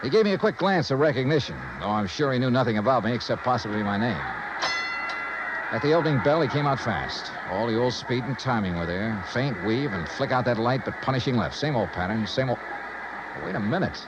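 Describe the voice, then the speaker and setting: An actor playing a brisk, hard-boiled investigator, narrating in clipped, wry 1950s radio noir style. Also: male voice, medium pitch, expressive, natural voice. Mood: intent narration. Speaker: lead detective and narrator. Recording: muffled, noisy old radio recording.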